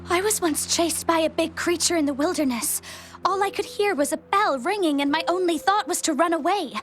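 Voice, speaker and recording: A woman's voice: extremely high pitch, fairly quick. A woman voicing an earnest, openly emotional young female explorer in a video game dub, with music behind her. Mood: reflective, grateful.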